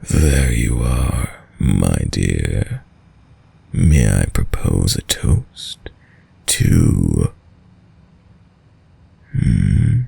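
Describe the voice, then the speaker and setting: A man playing a suave, predatory, dominant vampire lord in an intimate audio roleplay, with a very deep, gravelly voice and a courtly, seductive, menacing manner that lingers over words. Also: sing-song, very slow, long pauses, warm tone, slight background noise, full character voice. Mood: teasing, insistent.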